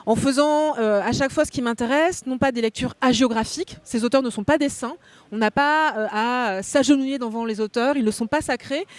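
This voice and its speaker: A woman's voice: high-pitched, fairly quick, no room sound. A woman raised in France, a guest thinker explaining ideas at length on a cultural talk show. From born in Belgium, raised in France. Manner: articulate, academic, explains concepts at length. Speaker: guest philosopher. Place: hotel meeting room.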